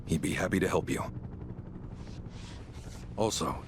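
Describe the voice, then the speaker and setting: Game innkeeper: older, weary, matter-of-fact, low-pitched, with loud battle noise behind. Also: male voice, a little slow. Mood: calm, resolute. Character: weary older male innkeeper helping fugitives escape. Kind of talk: cinematic video game acting.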